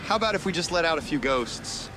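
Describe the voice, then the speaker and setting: Over prominent music comes a man acting a motion-comic ghost hunter, a sardonic schemer. His voice sits a little high for a man. He drops dry wisecracks in a laid-back way. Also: lively intonation, fast, lightly acted. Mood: deadpan scheming. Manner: dry, sarcastic. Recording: loud music bed under voice.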